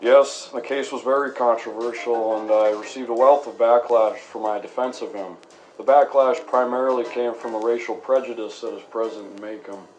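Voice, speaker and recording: Dull, lo-fi audio with music behind it carries a man acting a principled lawyer and father in a radio play. He speaks in a measured, formal, gently admonishing way at a middling pitch. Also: fairly even intonation, natural voice. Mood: measured, earnest. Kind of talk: acted radio interview for a school project.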